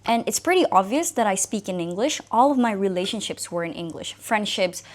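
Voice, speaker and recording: A woman in her thirties from Indonesia, whose first language is Indonesian, shares her own story to the camera as an English fluency coach. She sounds conversational and thoughtful, with plenty of casual fillers. Her voice sits at an ordinary, middle pitch. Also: lively intonation, fairly quick. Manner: conversational, reflective, frequent "you know" and "like". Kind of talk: personal talk to camera.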